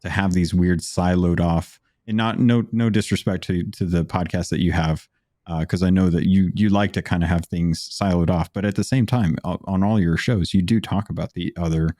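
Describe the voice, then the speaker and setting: A man with a very low, mellow voice talks casually as a podcast guest, loose and rambling, often restarting his sentences. The sound is dry and close, with no room behind it. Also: normal speed, dry recording. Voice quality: deep voice. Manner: relaxed, rambling with many restarts. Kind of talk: casual conversation.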